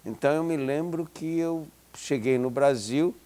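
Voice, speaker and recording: A man's voice: fairly high pitch, unhurried, dark tone. Older man, hesitant and reflective. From Israel. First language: Hebrew. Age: 70s. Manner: hesitant, with many restarts and fillers. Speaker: interview guest, psychology professor. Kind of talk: reflective interview talk.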